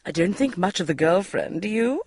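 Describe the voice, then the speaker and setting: A woman voicing a nosy, pushy middle-aged family friend, talking loudly and breezily. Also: fairly low pitch, sing-song, normal speed, slightly rough, no echo, studio quality, exaggerated voice. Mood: pushy chirpy. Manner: loud, meddling and breezy.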